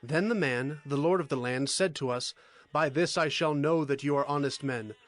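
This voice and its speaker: An actor playing an earnest, anxious brother and humble petitioner in a dramatized scripture recording, speaking in a pleading way. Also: male voice, a little high, normal speed, natural voice. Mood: anxious, reporting. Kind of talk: dramatized scripture audio acting.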